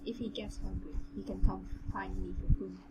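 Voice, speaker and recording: A woman voicing a gentle, earnest young man, a kindly immortal who reassures and advises, in an amateur audio drama reading. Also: medium pitch, little pitch movement, moderate pace, lightly acted. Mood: gently reassuring. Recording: clearly noisy room recording.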